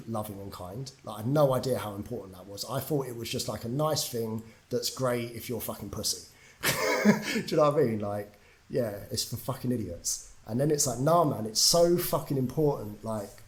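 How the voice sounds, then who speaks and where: A young man from England tells his story as a podcast guest, candid and loose. He swears often and fills his sentences with "like" and "um", at a middle pitch. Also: poor audio. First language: English. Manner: candid, swears freely, lots of fillers. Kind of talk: casual storytelling.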